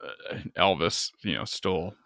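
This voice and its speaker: A man's voice: sits low, measured pace, vocal fry, a bit bright, no echo, studio quality. A man hosting a casual chat, laid-back and full of fillers. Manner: laid-back, filler-heavy, says "like" a lot.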